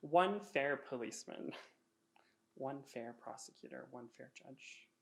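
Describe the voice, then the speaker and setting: A man's voice: medium pitch, lively intonation, takes it slowly, gravelly, a bit bright, a little hiss or hum. A man reacting casually in a discussion, blunt and sardonic, in short phrases. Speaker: panelist.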